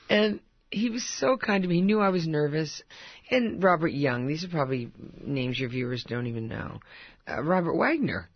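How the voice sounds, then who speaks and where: An older woman with a husky, gravelly voice sits for an interview, telling stories in a chatty way and laughing often. Her voice sits fairly high, and the recording is dry and a bit dull. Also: no echo. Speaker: actress guest. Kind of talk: casual interview chat.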